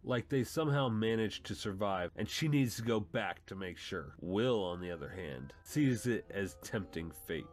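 A man with a fairly low voice narrates a comic book story in a dramatic style, as the host of the reading. Music plays clearly behind him. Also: lively intonation, normal speed, hardly any pauses.

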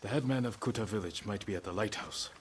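Deep-voiced, stoic samurai lord played by an actor. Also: male voice, lightly acted. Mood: grim resolve. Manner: solemn, measured. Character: stoic, grave samurai lord, steady and resolute. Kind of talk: dramatic video game acting.

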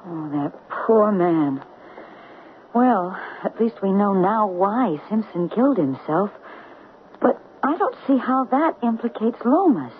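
An actress playing a loyal, anxious office secretary, earnest and urgent, in a radio drama. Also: female voice, medium pitch, very animated, slow. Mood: concerned. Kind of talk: 1970s radio drama acting. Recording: dull lo-fi 1970s radio broadcast, hissy.

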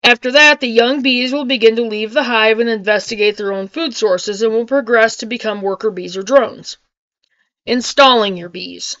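Higher-voiced middle-aged woman reading aloud. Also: moderate pace, fairly bright tone, no room sound, muffled recording. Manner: stumbles over and repeats hard words. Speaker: homesteading vlogger. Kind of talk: reading an article aloud with casual asides. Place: small home kitchen with wood-panel ceiling, indoors.

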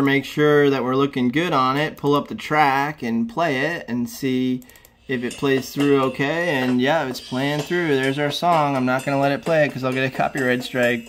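Easygoing man walking through a tutorial. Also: mid-range voice. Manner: relaxed, plain-spoken, walks through each click. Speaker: tutorial host. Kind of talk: casual step-by-step screen tutorial.

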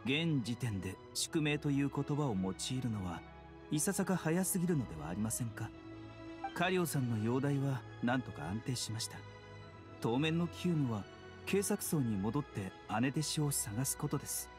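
Man acting calm, courteous doctor over music. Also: mid-range voice, natural voice. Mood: measured concern. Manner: polite, measured, formal speech. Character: calm, courteous young male doctor, gravely concerned. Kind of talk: Japanese video game voice acting. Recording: studio voice over game music. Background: background music.

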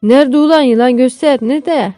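Storybook peasant father, played by a woman: hearty, blunt, bossy, raised pitch, roomy recording. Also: normal speed, a little mellow, faint music behind, lightly acted. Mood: urgent, calling. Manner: rustic, blunt, calling out.

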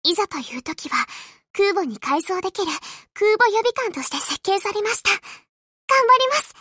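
An actress voicing a shy, earnest young anime warship girl in a game, polite and gentle with a hesitant, stammering manner. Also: very high voice, animated, normal speed, no echo, clean studio sound, exaggerated voice. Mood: polite, eager.

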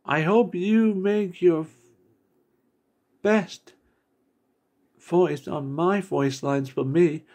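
Guy reading homemade character lines real slow, kinda high voice, trips over words. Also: darkish tone. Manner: halting, stumbles over words. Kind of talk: amateur character voice lines read off a screen. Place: indoors at a computer desk.

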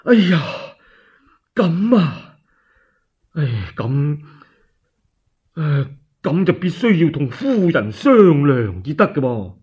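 A male storyteller plays a sorrowful warlord in a radio drama. He sighs and hesitates plaintively, in a slow, husky, swooping voice. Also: a little high, big pitch swings, a little mellow, dull audio, full character voice. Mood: flustered, hesitant. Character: Liu Bei, sorrowful, weepy middle-aged warlord. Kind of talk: one-man Cantonese radio storytelling drama.

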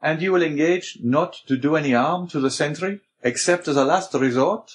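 A man voicing a gentle, anguished, principled elderly scholarly priest in an audiobook, speaking in earnest, formal exclamations. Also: a little high, moderate pace, voice pushed up, natural voice.

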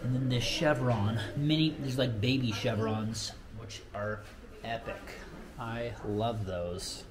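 A man's voice: medium pitch, steady tone, takes it slowly, crowd noise. An American man in his thirties giving an informal, enthusiastic walk-through tour in casual, slangy language. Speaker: real estate vlogger giving a home tour. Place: walking through a model home and its yard. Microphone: handheld camera at arm's length. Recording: noisy handheld camera with ambient sound.

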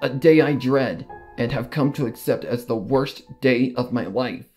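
A man's voice: medium pitch, unhurried, darkish tone, soft background music, natural voice. A man voicing a grieving, loyal female cartoon pony, solemn and confessional in a dramatic fan fiction reading. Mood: sorrowful.

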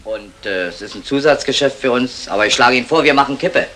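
An actor playing a gallant, cheeky burglar with a mock-courtly, talkative manner in a 1960s German crime radio play. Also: male voice, medium pitch, fast, bright, forceful tone, lightly acted. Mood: suave persuasion. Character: smooth-talking, gallant male burglar, cheeky and unflappable. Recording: noisy vintage 1960 radio recording.

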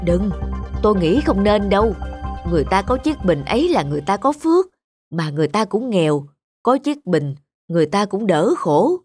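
A sensible wife in a cartoon folk tale. She chides and reasons with disapproval in a lively voice, over clear background music. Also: mid-range voice, normal speed, no echo. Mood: disapproving. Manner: chiding, reasoning.

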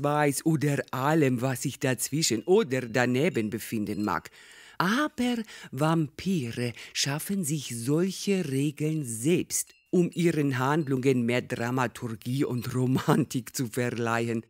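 A man's voice: mid-range voice, no room sound, close to an everyday voice. A man playing a domineering, haughty old female fortune-teller in a German comedy audio drama, lecturing imperiously.

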